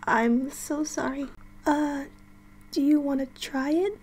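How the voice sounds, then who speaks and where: A woman's voice: sits high, steady tone, takes it slowly, a little mellow. A woman voicing a timid, gloomy ghost in a comedic game dub, hesitant and constantly apologetic, sometimes slipping toward a whisper. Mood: meek, gloomy. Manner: hesitant, self-effacing, partly whispered.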